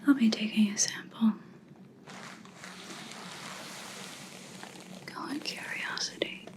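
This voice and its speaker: A woman playing a cold, menacing vampire hunter in a whispered ASMR roleplay, speaking slowly and deliberately with quiet threat. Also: mid-range voice, close to an everyday voice. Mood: cold, musing.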